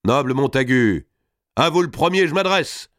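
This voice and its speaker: A man's voice: mid-range voice, lively intonation, moderate pace, a bit bright, no room sound, clean studio sound, voice pushed up, lightly acted. A man voicing a fervent, triumphant nobleman who orates in a solemn, courtly, declamatory way in an audiobook story. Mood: courtly, urging. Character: fervent, triumphant nobleman orating grandly at a feast.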